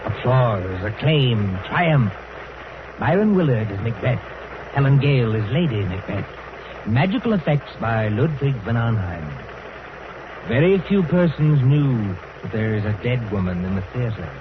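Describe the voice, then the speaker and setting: An actor as a grave narrator, delivering suspenseful, dramatic vintage radio narration. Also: male voice, medium pitch, sing-song, a little slow, background noise. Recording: tinny, band-limited old radio, loud music.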